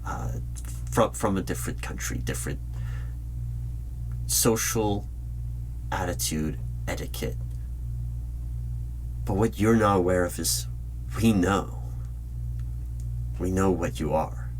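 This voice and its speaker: A man performing a spoken ASMR roleplay as a suspicious, probing hiring manager of a secret bureau, asking questions deliberately with dramatic pauses. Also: low voice, low-quality recording. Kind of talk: loud-spoken ASMR roleplay, one-sided interview. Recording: clear hum and keyboard typing noise. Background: typing on a computer keyboard.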